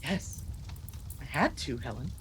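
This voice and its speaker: An obsessed, desperate husband played by a man tells his tale of horror as old-time radio drama. His intense voice swings widely in pitch, melodramatic throughout. There is clear noise behind him on the recording. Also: very animated, a little slow, a bit bright, poor audio. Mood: uneasy. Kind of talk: 1940s radio horror acting, first-person narration.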